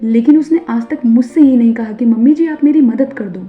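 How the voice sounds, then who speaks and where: A woman playing a stern, high-voiced mother-in-law, phone-like sound. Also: fairly quick, natural voice. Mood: reproachful, firm. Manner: sharp, emphatic scolding. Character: stern, scolding middle-aged Indian mother-in-law. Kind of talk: animated Hindi moral story acting. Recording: narrow phone-like sound over music.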